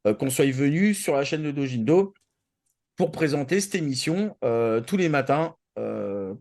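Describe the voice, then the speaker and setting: A man chatting casually as a show panelist, halting and repeating himself as he searches for words. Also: medium pitch, lively intonation, darkish tone. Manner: halting, searching delivery with repetitions. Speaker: show regular, co-founding panelist. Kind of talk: casual live show chat.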